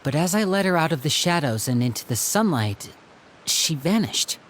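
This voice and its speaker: A performer playing a calm, earnest youth in a video game, measured and telling things like a story. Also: medium pitch, slight background noise, natural voice. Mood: concerned.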